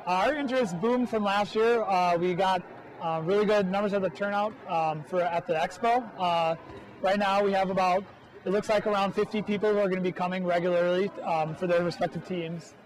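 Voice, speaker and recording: A man's voice: high voice, steady tone, fairly quick, poor audio. A young man, a student club organizer, answering an interview hesitantly with many fillers.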